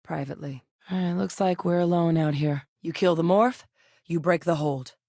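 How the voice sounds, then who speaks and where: Actress for a low-voiced, no-nonsense scientist-officer in a game, matter-of-fact, dry studio read. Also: female voice, mellow, no echo, lightly acted. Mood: steady. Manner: measured, clipped, matter-of-fact. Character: composed woman scientist with military past. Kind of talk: video game voice lines.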